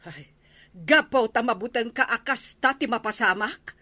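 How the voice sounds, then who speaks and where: Sharp, nagging village captain's wife. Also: female voice, fairly high pitch, animated, unhurried, full character voice. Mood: exasperated. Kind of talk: Ilocano radio comedy drama acting.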